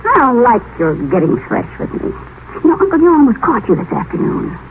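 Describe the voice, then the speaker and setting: High-pitched, pouty young mistress. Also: female voice, big pitch swings, a little fast. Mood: pouty indignation. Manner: pouty, slangy, indignant. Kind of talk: 1950s radio play acting. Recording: tinny, noisy old radio broadcast.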